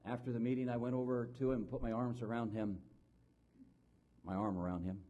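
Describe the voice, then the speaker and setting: A man preaching a sermon, earnest, telling a personal story, often falling soft and low for emphasis. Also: level tone, brisk, highs cut off.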